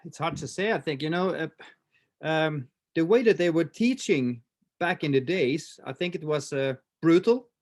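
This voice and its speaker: A man in his fifties with a Swedish accent, talking in a casual interview with many pauses, fillers and restarts. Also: fairly high pitch, animated. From Sweden. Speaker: martial arts instructor, guest.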